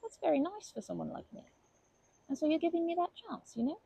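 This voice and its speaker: A woman voicing a kindly, chatty old grandma in an ASMR comfort roleplay, rambling gently with halting phrases and doting endearments. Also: very high voice, sing-song, heavily acted. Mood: warm, delighted. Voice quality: husky, creaky voice.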